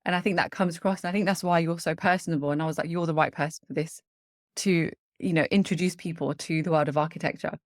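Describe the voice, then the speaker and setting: A woman's voice: low-pitched, steady tone, fast. A woman hosting a podcast, talking in an eager, earnest and encouraging way. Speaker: podcast host. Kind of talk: casual interview and spoken intro.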